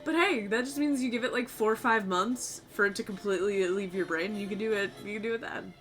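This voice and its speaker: A young woman streamer with a low, fried voice, chatting casually with her viewers in a rambling, loose way, her voice a little tired and sore. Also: fast talker, a bit bright. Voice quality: low voice with vocal fry. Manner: rambling, talks to chat, swears casually. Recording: faint music behind.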